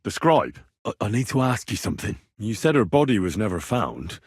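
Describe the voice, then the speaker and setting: A stubborn young investigator in a video game. His voice is slightly rough and moves freely up and down as he probes with earnest, plain-spoken questions. The sound is dry and close, with no room around it. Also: on the low side, big pitch swings, brisk, a little mellow, no room sound. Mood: questioning. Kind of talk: video game character dialogue.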